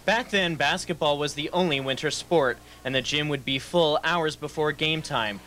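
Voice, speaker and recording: A man's voice: fairly high pitch, moderate pace, sharp tone, a little hiss or hum. A man working as a TV news reporter, narrating a scripted story.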